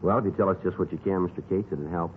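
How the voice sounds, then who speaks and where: A man playing a terse, methodical police detective in a 1950s radio drama, clipped and deadpan as he questions and narrates. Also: sits low, fast talker, narrow, tinny audio, a little hiss or hum, lightly acted. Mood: deadpan, probing. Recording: thin 1950s radio recording.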